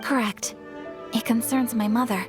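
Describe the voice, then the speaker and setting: A woman's voice: fairly high pitch, steady tone, moderate pace, loud music behind the voice, natural voice. A woman playing a refined, polite and composed young noblewoman with formal phrasing in an anime-style video game. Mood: earnest.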